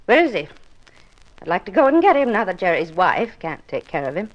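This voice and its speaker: An actress playing a bitter, controlling older widow in a 1950s radio drama, haughty and blunt. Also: female voice, medium pitch, big pitch swings, brisk, highs cut off. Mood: bitter, demanding. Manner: haughty, blunt, self-pitying.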